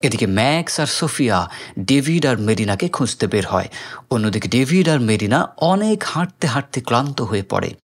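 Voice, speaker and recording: A man's voice: mid-range voice, very animated, moderate pace. A man with a Bangladeshi accent narrates a film recap as scripted storytelling.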